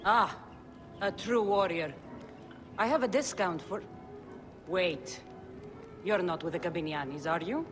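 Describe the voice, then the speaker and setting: An actor playing a sly, flamboyant brothel keeper in a historical video game, with a teasing, salesmanlike manner. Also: male voice, sits high, measured pace, long pauses. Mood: wary sales patter. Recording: long hall reverb under game music.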